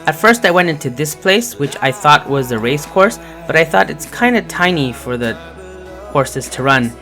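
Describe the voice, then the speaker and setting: A young man with a hoarse voice from a cold, chatting loosely through a travel vlog with lots of fillers. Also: a little high, lively intonation, moderate pace, pushed and bright, faint music behind. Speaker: vlogger. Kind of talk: casual travel vlog narration.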